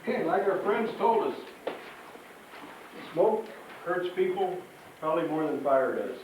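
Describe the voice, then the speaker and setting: A man's voice: high-pitched, very animated, unhurried. A man giving an informal, plain-spoken fire safety talk to children, with emphatic delivery. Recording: echoey hall, distant, faint noise.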